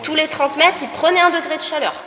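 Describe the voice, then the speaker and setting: A woman's voice: high voice, steady tone, fast talker, recorded from a distance. A tired woman with a cold, guiding a group through a museum and quizzing them with questions. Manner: explanatory, quizzes visitors with questions. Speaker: museum tour guide. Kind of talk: guided tour explanation to a group. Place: indoor mining museum hall. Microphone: far camera recording a group tour. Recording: echoey hall, voice from afar, noisy.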